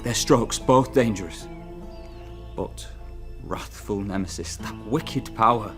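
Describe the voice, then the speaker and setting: An actor playing a loyal, solemn young Spanish soldier, speaking formal, measured verse in a radio drama. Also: male voice, fairly low pitch, lightly acted.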